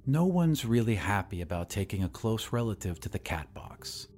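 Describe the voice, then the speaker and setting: Male narrator as elderly doctor, genial, pompous, lecturing, raised lilting pitch, music behind. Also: big pitch swings, normal speed, a little mellow. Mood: sympathetic, persuasive. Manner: lecturing, emphatic. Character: genial, pompous, very old male doctor championing population control. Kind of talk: audiobook story character.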